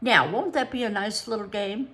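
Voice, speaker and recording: A woman voicing an officious woman psychologist who speaks briskly and grows shrill and spiteful, higher and louder than her own reading voice. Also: natural voice. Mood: condescending. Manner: brisk, schoolmarmish, turns shrill when excited.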